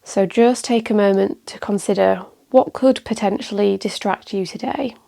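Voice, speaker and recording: A woman guides a meditation as a teacher, speaking calmly and gently in measured phrases with pauses. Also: mid-range voice, creaky. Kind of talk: guided meditation, calm instruction.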